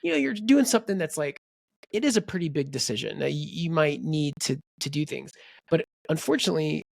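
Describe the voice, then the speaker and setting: A man's voice: fairly high pitch, brisk, gravelly, no echo, studio quality. A man co-hosting a book podcast, rambling in casual chat and quoting people in voices. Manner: rambling, animated, quotes people in character voices. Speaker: podcast co-host.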